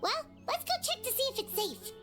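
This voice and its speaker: Squeaky, very high childlike fairy companion. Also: female voice, very animated, fairly quick, music in the background. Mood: chatty. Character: small floating fairy companion, childlike, perky, chatty. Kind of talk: video game voice acting.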